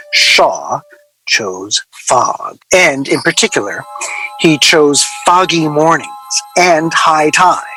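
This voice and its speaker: Bright-voiced deadpan man recounting, music underneath. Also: fairly high pitch, low-quality recording. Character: wry male sound recordist recalling an obsessive artist's failed project. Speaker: interviewee. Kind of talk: conversational storytelling in a radio drama.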